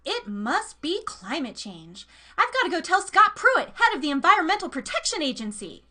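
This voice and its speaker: A woman voicing an excitable young female hipster in a comedy radio sketch, with earnest urgency and dramatic emphasis. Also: extremely high pitch, moderate pace, pitched above the performer's own voice, heavily acted. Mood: alarmed. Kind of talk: live comedy sketch read as radio play.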